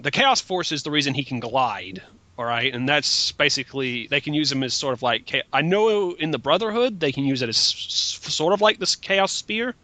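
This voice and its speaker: A man's voice: mid-range voice, pushed and bright, no echo. A man giving casual, mocking fan critique in an opinionated, emphatic way, as part of a group commentary. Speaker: commentator in a group dramatic reading.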